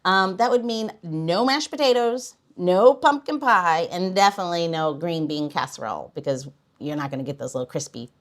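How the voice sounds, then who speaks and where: A woman's voice: lowish voice, expressive, moderate pace, hardly any pauses. Chatty, joking woman podcast host. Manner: chatty, jokey, steers talk back on track. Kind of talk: casual banter with a co-host.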